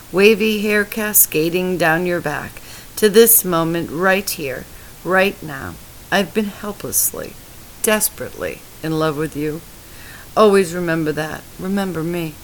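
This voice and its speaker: A woman voicing a weak, dying husband who speaks softly and tenderly, his voice fading. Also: lowish voice, slight background noise, lightly acted, rough recording. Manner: soft, faltering, fading. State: weak and tired.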